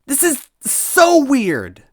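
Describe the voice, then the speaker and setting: Narrator putting on a shrill, feisty toad girl. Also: huge pitch swings, drawn-out words, fairly bright tone, dry recording, clean studio sound, full character voice. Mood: horrified. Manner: shrill, defiant, pleading. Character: feisty, distressed young female toad captive. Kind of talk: acted storybook dialogue.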